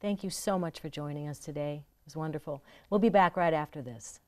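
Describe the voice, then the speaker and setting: A woman's voice: low-pitched, a little fast, darkish tone. A woman hosting a local TV talk show, introducing guests and asking questions in a polite, upbeat way. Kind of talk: studio interview hosting.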